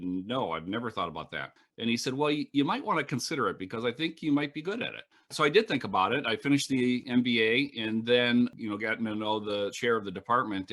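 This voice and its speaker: A middle-aged man, a professor, answering interview questions in a measured, matter-of-fact storytelling way. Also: lowish voice, sharp tone. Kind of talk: reflective storytelling.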